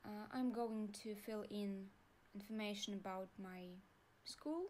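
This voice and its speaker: A young woman with a Russian accent, calmly walking viewers through an online form in a tutorial. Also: medium pitch, slight background noise. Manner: slow, deliberate, pauses while clicking. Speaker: university staff guide. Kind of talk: screen-recorded tutorial walkthrough.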